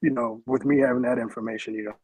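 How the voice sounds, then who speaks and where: A man on a talk show speaking earnestly and quickly about family health history. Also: medium pitch, fairly even intonation, darkish tone, husky, dry recording. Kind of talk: casual talk.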